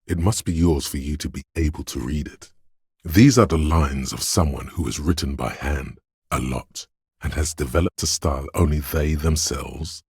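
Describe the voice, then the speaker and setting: The measured inner voice of a detective's reasoning in a video game. It is extremely low and husky, with a heavy creak. The narration is precise and literary, addressed straight to the player. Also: male voice, a little mellow, no echo, clean studio sound, heavily acted. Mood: analytical, measured. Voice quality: very deep, gravelly, husky. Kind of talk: scripted game narration in second person.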